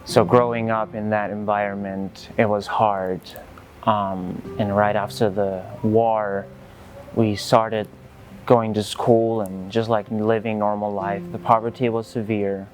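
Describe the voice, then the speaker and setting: A young man from Kosovo with an Albanian accent, telling his personal church testimony in an earnest, halting way. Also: on the low side, flat delivery, rough recording. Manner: earnest, halting, many fillers and restarts. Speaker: church leader giving testimony. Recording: music playing behind.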